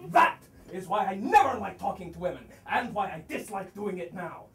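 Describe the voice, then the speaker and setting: A man's voice: high-pitched, lively intonation, a bit bright. A male student actor playing a blustering, hot-tempered landowner who scorns women, grumbling and exclaiming in a comic stage play. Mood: scornful grumbling. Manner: blustering, exclamatory, grumbling to himself with halting outbursts. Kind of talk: theatrical stage acting of a comic one-act play. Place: theater stage, recorded from a distance. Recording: distant stage recording with faint noise.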